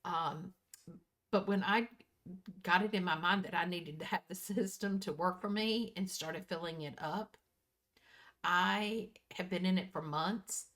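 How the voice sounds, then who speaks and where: A woman in her early sixties with a voice a little lower than most women's. She talks casually and candidly, as a vlogger does to regular viewers. Her delivery is folksy, and she repeats and corrects herself as she goes. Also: fairly bright tone. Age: early 60s. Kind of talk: casual personal chat to viewers.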